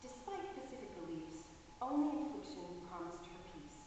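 A woman's voice: fairly low pitch, expressive, normal speed, noisy background. A woman reading a story dramatically as part of a radio theatre ensemble on stage. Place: large hall stage. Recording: echoey hall from far off, music behind.

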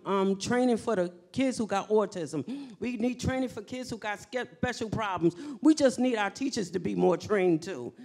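A woman's voice: mid-range voice, darkish tone. A middle-aged woman pastor answering at a candidate forum, emphatic and repetitive, on a handheld mic in a library meeting room. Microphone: handheld microphone.

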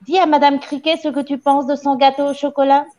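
A woman's voice: high-pitched, expressive, a little slow, dark tone, voice pushed up. A woman reading a story as a domineering headmistress, mock-polite and commanding with a menacing false sweetness. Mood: loud, gloating.

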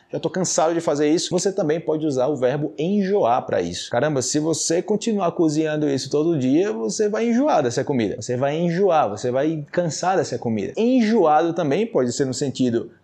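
A man in his thirties with a Brazilian Portuguese accent, teaching vocabulary to camera in a lively, gesturing way, recorded indoors on a small clip-on mic. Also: medium pitch. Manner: animated, gestures constantly, acts out example phrases. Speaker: language teacher. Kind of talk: energetic video lesson to camera. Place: small indoor home studio. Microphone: small mic clipped to shirt.

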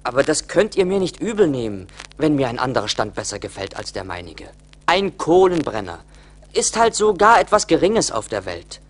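A young, humble charcoal burner in a 1980s radio play. His voice is on the high side and very animated. He speaks politely, earnest and a little plaintive. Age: young adult.

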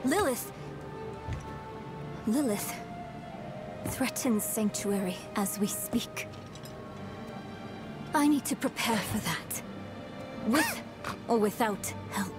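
An actress playing a determined, defiant young female student in a dramatic video game, earnest and deliberate. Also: fairly high pitch, expressive, music in the background. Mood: defiant, resolute.

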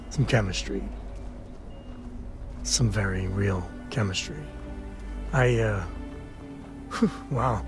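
A rugged space cowboy speaks intimately in a video game. He is earnest and a little bashful, halting as he goes at an unhurried pace. Music plays clearly behind him. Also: medium pitch. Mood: flustered, bashful. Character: rugged space cowboy, sincere, bashful, affectionate single father. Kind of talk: intimate scripted game dialogue.

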